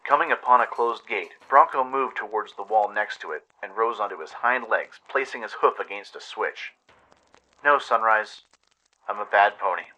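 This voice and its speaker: A man's voice: lowish voice, normal speed, few pauses, pushed and bright, poor audio. A man narrating a scripted adventure story dramatically, as in a radio play. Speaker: narrator and radio show host.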